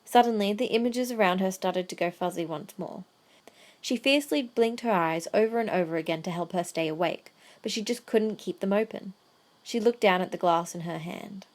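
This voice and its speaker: A woman narrates an audio drama in a medium-pitched voice. She reads scripted prose as the storyteller. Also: normal speed.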